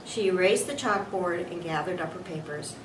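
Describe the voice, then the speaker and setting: A woman's voice: fairly low pitch, moderate pace. Woman reading a children's book, echoey room. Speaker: storybook reader. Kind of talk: scripted children's book narration. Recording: echoey room, distant mic, background noise.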